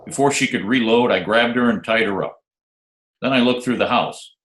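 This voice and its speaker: A man playing a smooth, wisecracking hardboiled private detective in an old-time radio drama. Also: mid-range voice, normal speed, a bit bright, a little hiss or hum, natural voice. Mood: dry, wry narration. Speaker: lead actor and narrator. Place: home, remote video call recording. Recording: roomy, echoey remote home recording.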